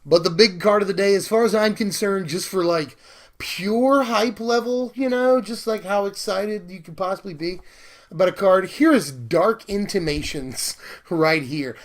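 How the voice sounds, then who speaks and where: An excited young man, a little hoarse from losing his voice, chatting casually about new cards with lots of fillers. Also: high-pitched, measured pace. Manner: enthusiastic, talkative, fillers and laughs. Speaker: card game video host.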